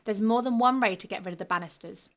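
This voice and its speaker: A woman's voice: mid-range voice, quick pace, close to an everyday voice. A woman voicing a bad-tempered, scheming boy who snaps and hisses his lines in a storybook reading. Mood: sneering. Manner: curt, snapping, hissing.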